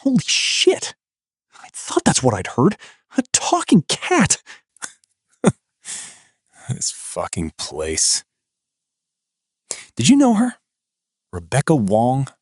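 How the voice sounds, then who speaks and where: A woman's voice: rumbling low, huge pitch swings, slow pace, long pauses, darkish tone, husky, no room sound, studio quality, voice pushed down, heavily acted. A woman voicing a tired, wary adult man who talks in an apologetic mumble. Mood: incredulous.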